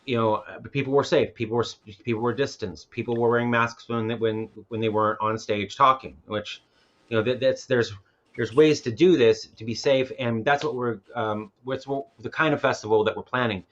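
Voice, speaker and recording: A man's voice: mid-range voice, fairly even intonation, fairly quick, fairly bright tone, muffled recording. A man speaking casually as a filmmaker and festival organizer on a panel, rambling and often repeating words as he goes.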